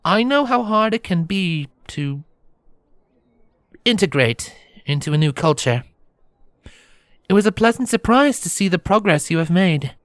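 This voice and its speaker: A man voicing a regal, gentle young pony princess with a soft, silvery voice and formal, courtly phrasing, in a fantasy story. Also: high-pitched, lively intonation, a little slow, long pauses, dry recording, voice pushed up. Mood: solemn, sympathetic.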